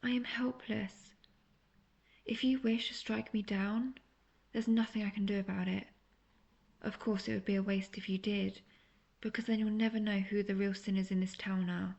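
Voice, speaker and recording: A woman playing a manipulative, seductive imprisoned witch in a fantasy audio roleplay, speaking intimately in a breathy near-whisper. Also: mid-range voice, little pitch movement, moderate pace, a little mellow, highs cut off. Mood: feigned helplessness.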